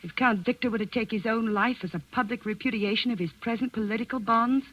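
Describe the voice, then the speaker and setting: An actress playing a poised, shrewd Danish countess in a 1940s radio drama, measured and refined in her speech. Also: a little high, natural voice. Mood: cool, shrewd. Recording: dull, dry vintage radio recording.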